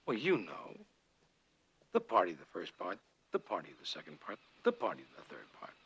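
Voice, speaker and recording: Gentle TV dad, lively low voice. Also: male voice, moderate pace, vocal fry, husky, heavily acted. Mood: explaining, wry. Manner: patient, affectionate, gently wry explanations. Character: gentle, patient father of a young boy. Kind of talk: naturalistic television sitcom acting. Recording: noisy old TV soundtrack.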